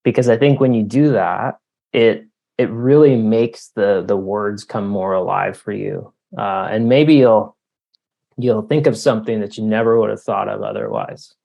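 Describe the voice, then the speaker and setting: A man's voice: lowish voice, fairly even intonation, normal speed, creaky, warm tone. A man from Canada answering interview questions in a conversational, explanatory way, thinking aloud with lots of "you know" and "like". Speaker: author being interviewed.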